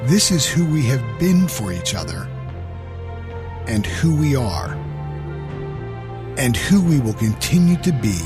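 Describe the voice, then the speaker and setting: A man reading scripted inspirational narration for a promo in a measured, earnest way. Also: medium pitch, very animated. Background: loud music.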